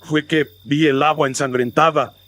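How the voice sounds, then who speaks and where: A man's voice: a little high, fairly even intonation, normal speed, fairly bright tone, dry recording, pitched above the performer's own voice, natural voice. Man acting a grim country herdsman, dry sound. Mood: grim, accusing. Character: grim rustic herdsman, plain-spoken witness. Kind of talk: horror radio drama acting.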